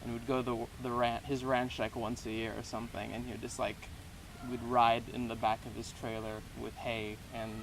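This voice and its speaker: A man in his twenties from Texas, answering interview questions in a relaxed, rambling way with lots of hedges. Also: mid-range voice, normal speed. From Houston, Texas. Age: 20s. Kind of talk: casual unscripted interview. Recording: noisy background.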